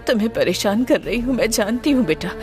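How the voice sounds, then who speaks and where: A woman dubbing a fearful middle-aged mother, lively. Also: fairly high pitch, fairly quick, close to an everyday voice. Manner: pleading and anxious. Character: worried widowed mother facing loss of her home. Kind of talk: Hindi film dubbing. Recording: film soundtrack with music. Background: film score.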